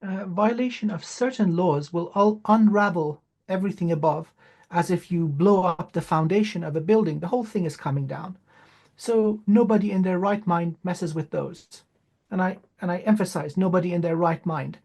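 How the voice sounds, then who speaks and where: A man whose first language is Farsi, speaking as an interview guest with many "uh" pauses and emphatic repetition. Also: high-pitched, darkish tone. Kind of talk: spiritual interview talk.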